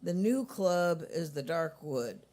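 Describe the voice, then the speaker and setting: A woman's voice: on the low side, animated, slow, warm tone. A woman hosting a coffee shop open mic, chatting in a rambling, easygoing way with lots of restarts. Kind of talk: casual chat.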